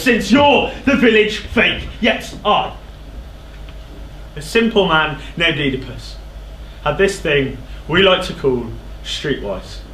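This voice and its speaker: A man's voice: sits high, fairly bright tone. An actor playing a proud, hot-tempered king in a stage verse drama, declamatory and scornful. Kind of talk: theatrical verse stage acting. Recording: echoey theatre stage recording, faint noise.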